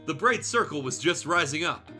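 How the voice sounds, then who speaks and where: A man's voice: fairly high pitch, expressive, moderate pace, sharp tone, soft background music. A man reading fan fiction aloud as a streamer, in a theatrical, irreverent storytelling style with joking asides.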